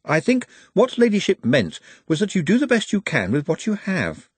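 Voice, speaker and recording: Casting: man voicing a solemn, literal dwarf, husky and dry, slow deliberate lines. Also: high voice, huge pitch swings, no room sound, voice pushed up, full character voice. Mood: earnest. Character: earnest formal male dwarf, plain-spoken and serious.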